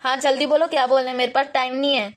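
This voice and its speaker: A woman's voice: sits high, little pitch movement, rapid-fire, voice pushed down, lightly acted. A woman voicing a brusque, impatient brother-in-law who dismisses everyone on a phone call. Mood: irritated.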